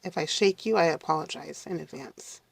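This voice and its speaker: A middle-aged woman with a raspy, congested voice from a cold, chatting casually to viewers as she works through a craft tutorial and keeps apologizing. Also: low voice, moderate pace, dry recording. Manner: chatty, apologetic, talks to viewers while working. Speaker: craft channel host.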